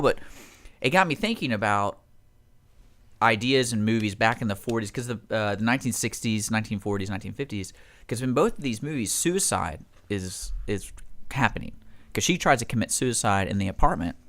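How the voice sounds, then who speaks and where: A man's voice: fairly low pitch, animated, a little fast, fairly bright tone. A man hosting a radio show, chatting casually in a rambling, teasing way. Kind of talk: casual chat. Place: radio studio.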